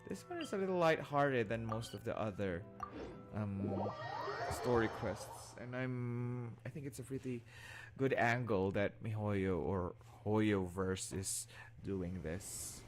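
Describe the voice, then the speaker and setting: A man's voice: lowish voice, slow. A man giving loose, casual playthrough commentary as a game streamer, thinking aloud as he goes. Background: game music.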